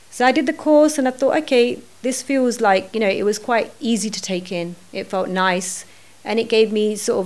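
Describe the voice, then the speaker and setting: A middle-aged woman, a podcast guest, talking earnestly and thinking aloud, often pausing with fillers. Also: on the low side, darkish tone. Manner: earnest, thinking aloud with many "you know"s. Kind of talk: reflective podcast conversation.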